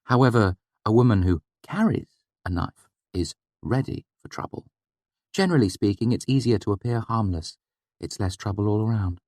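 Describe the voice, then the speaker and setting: A man's voice: lowish voice, animated, moderate pace, a little mellow, no echo, studio quality, voice pushed up. A man voicing a sly, practical young woman in an audiobook, with a wry, teasing manner. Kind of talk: audiobook character voice.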